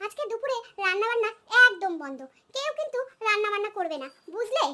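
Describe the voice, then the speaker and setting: An extremely high, piercing cartoon voice of a young daughter-in-law put on by a woman. She is vain and quick-tempered yet kind, chattering on in a nagging, pleading way. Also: animated, pushed and bright, heavily acted. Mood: eager, generous. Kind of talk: comic cartoon dialogue.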